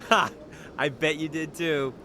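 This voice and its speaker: An actor playing a young, eager army private in a video game, awkward and easily flustered. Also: male voice, on the high side, fairly even intonation, a little slow, bright, forceful tone, slight background noise. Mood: teasing. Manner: stammering, flustered. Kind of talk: video game dialogue acting.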